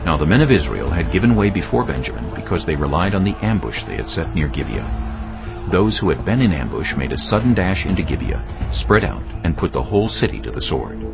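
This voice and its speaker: A man giving a solemn, measured, dramatic narration of scripture. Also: deep voice, very animated, creaky. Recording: dull lo-fi sound. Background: music.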